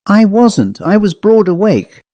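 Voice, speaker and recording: A man's voice: high voice, sing-song, a little slow, mellow, dry recording, voice pushed up. A man voicing an anxious, insistent woman in a dramatised storybook reading, with urgent, emphatic questioning. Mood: frightened insistence. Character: anxious, insistent woman searching for her missing niece.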